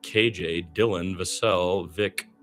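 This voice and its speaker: Guy doing radio hoops commentary, lowish voice, opinionated and exclamatory, bit of music under him. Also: steady tone, slow, a bit bright. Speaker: basketball play-by-play announcer. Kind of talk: live radio sports play-by-play with blunt opinion.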